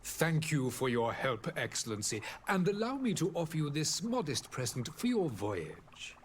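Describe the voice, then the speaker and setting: An actor playing a courteous, flattering official in a video game, speaking in a formal, deferential manner. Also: male voice, on the high side, moderate pace, a little hiss or hum. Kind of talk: scripted game dialogue.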